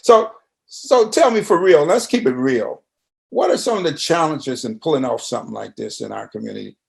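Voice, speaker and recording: A man's voice: on the high side, animated, normal speed, gravelly, darkish tone. A man interviewing in a loose conversational style, plain-spoken, folksy and probing.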